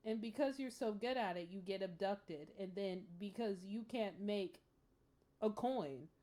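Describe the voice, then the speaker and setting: A woman's voice: medium pitch, unhurried, mellow. A woman hosting casual reaction commentary, exclamatory and emphatic, repeating words for effect. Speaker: reaction host.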